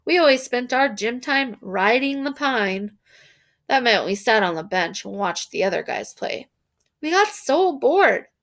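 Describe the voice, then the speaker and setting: Female storybook reader, higher-pitched voice, expressive and animated narration for young listeners. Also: a little slow. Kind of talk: expressive read-aloud narration of a children's novel.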